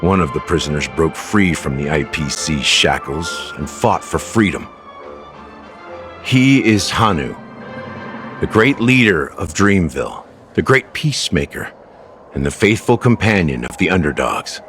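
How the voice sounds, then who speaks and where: A low, weathered game character, a sly and grave officer who guides and tells stories. He speaks deliberately and with drama. Music plays clearly behind him. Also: expressive. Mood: grave, reflective. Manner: measured, dramatic, deliberate storytelling delivery. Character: world-weary male officer, sly, grave storyteller guide. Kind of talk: video game story dialogue. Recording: studio voice over game music. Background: background music.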